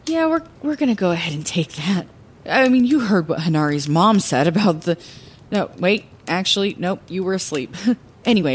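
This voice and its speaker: A woman playing Zellera, a scrappy, chatty lighthouse keeper, telling a fantasy audio drama bedtime story with hesitant, expressive asides. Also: lowish voice, slight background noise.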